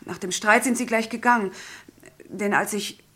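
A woman's voice: mid-range voice, steady tone, normal speed, pushed and bright, husky. A woman playing a polite young Frenchwoman in an old German radio crime drama. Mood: subdued, factual. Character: young Frenchwoman, polite lady who finds her lover dead.

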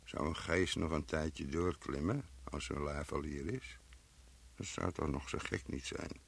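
A gravedigger in a radio play, really deep and crackly, mumbly musing to himself. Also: male voice, fairly even intonation, measured pace, vocal fry, breathy edge, full character voice. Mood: wondering, brooding. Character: rambling, earthy male gravedigger musing over the dead.

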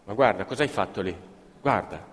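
Man as a cheeky poor boy, teasing, very animated pitch, stage radio drama, long hall reverb. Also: a little fast, lightly acted. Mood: teasing, boastful. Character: poor cheeky working-class boy in 1930s Italy. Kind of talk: live stage radio theatre dialogue.

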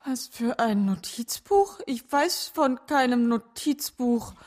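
Self-absorbed middle-aged diva, high voice, theatrical delivery, radio play. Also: female voice, expressive, slow pace, a little mellow. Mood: dismissive. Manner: melodramatic.

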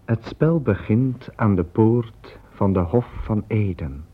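A man narrating an old radio play in a solemn, measured, incantatory way. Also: on the low side, expressive. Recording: tinny old radio recording with music.

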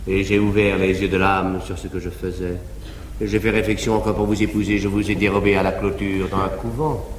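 A man's voice: on the low side, lightly acted. A man playing a cynical, mock-pious noble seducer. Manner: smooth, mock-solemn declaiming. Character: cynical aristocratic seducer feigning piety. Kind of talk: classical verse-drama acting, live theatre. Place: large theatre stage. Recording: old live stage recording, echoey hall, hiss.

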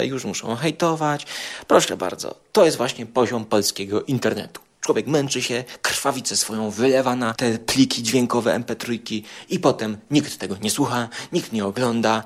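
A man with a Polish accent hosting an informal podcast roundup, talking colloquially and repeating phrases for emphasis in a mock-aggrieved way. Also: mid-range voice. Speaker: podcast and video show host. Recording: music behind the voice. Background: music.

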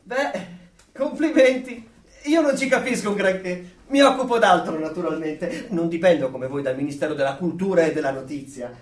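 A naive, admiring regime citizen , acted by a man in a radio play, eager to agree and a little hesitant. The voice sits high for a male one. It comes from a distance in an echoey room. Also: normal speed, lightly acted. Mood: deferential. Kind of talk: dystopian radio play acting. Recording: echoey room, far from the mic.

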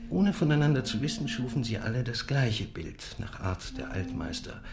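An actor playing a proud, enigmatic Ottoman miniature painter who tells parables in a measured, deliberate way in a radio drama. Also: medium pitch, sing-song. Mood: measured storytelling. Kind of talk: literary radio drama storytelling. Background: music.

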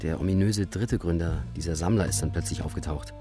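Tiefe, nachdenkliche Männerstimme eines Schauspielers, Musik dahinter. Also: male voice, sits low, a little fast, over music, lightly acted. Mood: reflective. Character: shaken man looking back on a group's collapse. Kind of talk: German radio crime drama, retrospective account.

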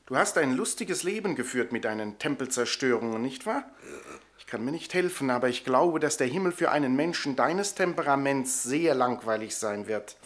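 A gentle, meek lover of animals played by a man in a radio drama. He speaks in a mild, soothing way, with simple earnestness. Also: mid-range voice, lively intonation, normal speed, lightly acted. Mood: mildly teasing. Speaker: actor. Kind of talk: German radio play acting.

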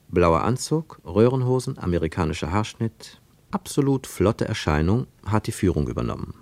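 An actor playing a wry, observant narrator in a literary radio play, measured and expressive. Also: male voice, lowish voice, creaky, dry recording. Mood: wry, descriptive.

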